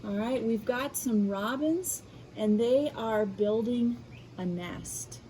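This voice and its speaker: An American woman reading a picture book aloud to children in an expressive way, adding explanatory asides. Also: fairly high pitch, slight background noise, low-quality recording. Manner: animated, unhurried, addressing children. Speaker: school media specialist reading aloud.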